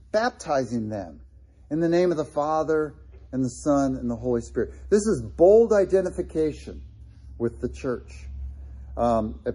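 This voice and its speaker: Mellow-voiced pastor preaching conversationally. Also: male voice, a little high, husky. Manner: conversational, with frequent restarts and asides to the congregation. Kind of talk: sermon.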